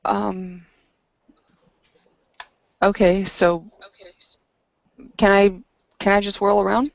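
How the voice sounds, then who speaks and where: A woman's voice: mid-range voice, little pitch movement, very slow, gravelly, dry recording. A woman chatting casually as a player in a tabletop roleplaying game over an online voice chat. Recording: dry, dull lo-fi voice chat.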